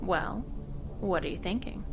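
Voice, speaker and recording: A woman's voice: lowish voice, expressive, measured pace, over music, rough recording. A woman playing a cool, shrewd government agent in an audio drama, with a controlled, sardonic, evasive manner. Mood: assured, dry. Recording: clear ambient noise behind dialogue.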